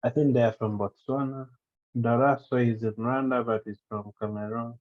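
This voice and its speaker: A man's voice: mid-range voice, steady tone, normal speed, dull audio. A man from Uganda on a video call, answering in short, easygoing replies. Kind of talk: casual video call chat.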